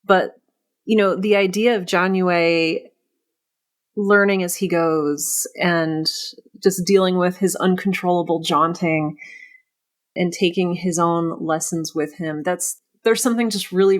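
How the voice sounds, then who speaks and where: A woman's voice: on the low side, steady tone, measured pace. A woman hosting a conversational interview in an informal, earnest and effusive manner. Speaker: podcast host, interviewer.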